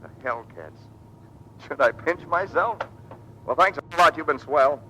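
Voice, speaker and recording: Young male rookie aviator, earnest and deferential, animated delivery, old radio serial. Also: a little high, measured pace. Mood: excited, bashful. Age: young adult. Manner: polite, deferential, boyish. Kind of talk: 1940s radio acting. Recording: faintly noisy vintage radio recording.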